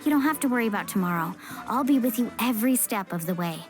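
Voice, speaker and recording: A woman's voice: on the high side, lively intonation, fast talker, natural voice. A woman playing a confident, supportive young female warrior in a video game, with a casual, encouraging manner. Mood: reassuring. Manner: casual, encouraging, plain-spoken. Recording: music behind the voice.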